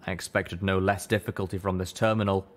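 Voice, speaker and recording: A man reading first-person fiction as an audiobook narrator, with measured, dramatic storytelling. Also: low voice, level tone.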